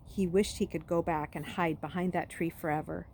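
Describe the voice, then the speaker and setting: A woman reading a story aloud as an expressive narrator. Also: lowish voice, normal speed, gravelly, a little hiss or hum. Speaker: read-aloud reader.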